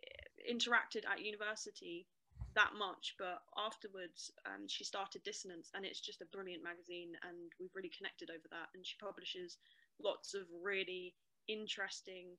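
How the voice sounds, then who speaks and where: A young woman, a storyteller and museum guide chatting lively and fluently in an interview, with frequent ums. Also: mid-range voice, normal speed, poor audio. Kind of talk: casual interview chat.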